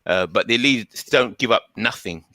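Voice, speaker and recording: Guy w a bright forceful voice explaining stuff, keeps repeating words, casual chat, really dry sound. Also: lowish voice, lively intonation, normal speed, no echo. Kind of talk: casual conversational monologue.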